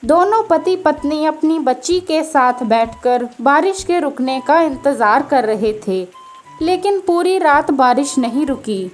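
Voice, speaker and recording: High, slow-paced woman storyteller. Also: animated, soft background music. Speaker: narrator. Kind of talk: scripted children's story narration.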